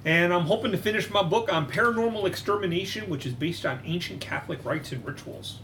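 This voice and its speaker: Guy doing a folksy paranoid ghost hunter, kinda high for a dude. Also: fast talker, fairly bright tone, a little hiss or hum, low-quality recording. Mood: earnest. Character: paranoid old-fashioned male ghost hunter. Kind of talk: improv character interview.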